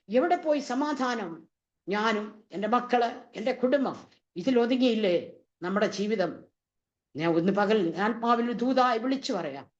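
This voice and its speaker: Female preacher, fervent and repetitive, slightly high voice, muffled lo-fi recording. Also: darkish tone. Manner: impassioned, repeats rhetorical questions. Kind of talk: sermon. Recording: dull lo-fi recording.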